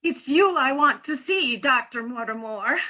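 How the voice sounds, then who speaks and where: A woman performs a middle-aged former nurse in a comic play reading. Her voice sits high and bright. She speaks frankly, with wry, pointed teasing and an earnest streak. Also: expressive, measured pace, full character voice, low-quality recording. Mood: apologetic, insistent.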